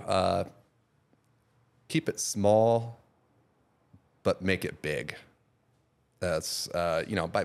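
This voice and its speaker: A man in his forties, a podcast guest, talking casually and rambling, with many restarts and trailing off quietly. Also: deep voice. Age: 40s. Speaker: guest, custom painter and product seller.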